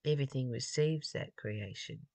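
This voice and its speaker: A person reading a spiritual text aloud and adding informal, reflective commentary, pausing mid-phrase in a halting way. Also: medium pitch, vocal fry, darkish tone, no room sound. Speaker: spiritual study reader and commentator.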